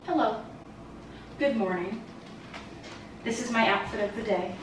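A woman's voice: a little high, unhurried, noisy background. A woman in her twenties chatting casually to camera for a vlog, with a playful, dryly humorous manner. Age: 20s. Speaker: vlogger. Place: indoors, small bare-walled apartment rooms. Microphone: camera's built-in microphone, a short distance away. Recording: roomy echo from bare rooms, music underneath.

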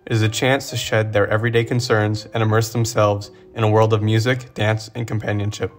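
Man narrating an essay, lowish voice, very flat intonation, scripted, soft music under. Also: a little fast.

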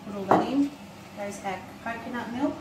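A middle-aged woman talks through a recipe casually, step by step, as she works. Her voice sits on the high side. She is a little way from the microphone in a roomy kitchen, with pans clattering and food sizzling clearly behind her. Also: moderate pace. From Australia. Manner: plain step-by-step commentary while busy at the stove. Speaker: home cook presenting a recipe. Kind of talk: casual cooking demonstration. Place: home kitchen, indoors. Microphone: camera mic a few steps away. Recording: roomy, distant, noisy kitchen camera sound.

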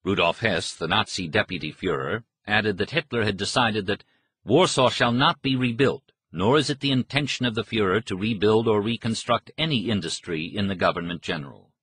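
A man reading a history book as a scripted narration, in a measured, formal manner with a slightly husky voice. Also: lowish voice, a bit bright, no echo. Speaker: audiobook narrator.

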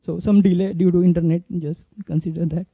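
A man in his thirties with an Indian accent giving an informal demo talk as a conference speaker, haltingly, into a handheld microphone. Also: high-pitched, little pitch movement, breathy edge, no room sound. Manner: halting, hesitant delivery. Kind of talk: informal flash talk with live app demo. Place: indoor conference stage. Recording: dull, muffled stage recording.

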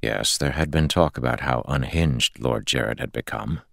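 Very deep, gravelly male audiobook narrator. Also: normal speed, a bit bright, no echo, studio quality. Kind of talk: scripted fantasy fiction narration.

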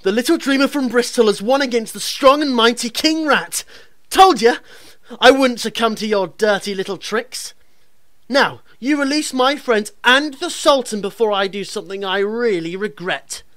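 A woman's voice: fairly high pitch, lively intonation, moderate pace, fairly bright tone, no room sound. A woman playing a brave, defiant young male hero in a radio pantomime, with earnest, declamatory delivery. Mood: triumphant. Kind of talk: pantomime radio play acting.

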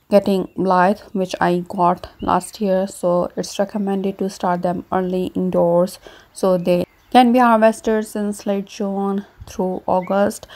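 A woman host calmly explaining her gardening work, speaking outdoors on a garden patio while she transplants seedlings. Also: mid-range voice. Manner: calm, even, explanatory. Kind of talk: casual gardening tutorial narration.

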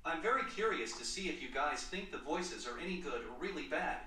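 Lifelike synthetic male voiceover reader, higher-pitched and bright. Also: lively intonation, fairly quick. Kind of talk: scripted text-to-speech demo.

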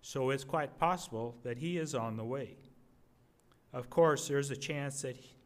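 An older man reading aloud at a podium as an earnest, steady soldier writing letters home in wartime. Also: medium pitch, unhurried, close to an everyday voice. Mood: hopeful. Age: 60s or older. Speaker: readers theater reader. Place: indoor museum gallery at a podium. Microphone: podium microphone.

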